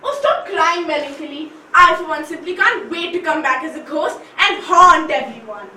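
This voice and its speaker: A woman's voice: sits high, lively intonation, moderate pace, pushed and bright, exaggerated voice. A woman playing a gloomy, cackling prophet of doom in an amateur stage play. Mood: gleeful. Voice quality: gleeful, cackling. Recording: echoey hall, far from mic, faint noise.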